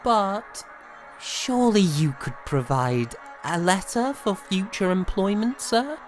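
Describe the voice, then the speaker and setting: An actor playing a proud, wordy young composer in a period audio drama, with formal old-fashioned diction and a theatrical way of telling things. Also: male voice, sits high, lively intonation, slow. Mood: deferential, hesitant. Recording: music behind the voice.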